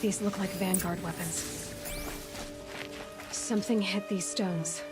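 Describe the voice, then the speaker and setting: Woman doing young huntress from a video game, determined, thinking out loud like an investigator, loud music under it. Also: mid-range voice, steady tone, slow, natural voice. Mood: grave, musing. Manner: observant, thinking aloud in short deductions. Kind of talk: video game cutscene acting.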